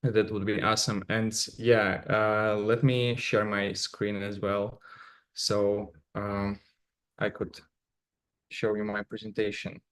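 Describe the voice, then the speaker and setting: Flat-voiced Russian-accented man, halting speech. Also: lowish voice. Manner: halting, many fillers and restarts. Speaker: conference speaker. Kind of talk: remote conference presentation.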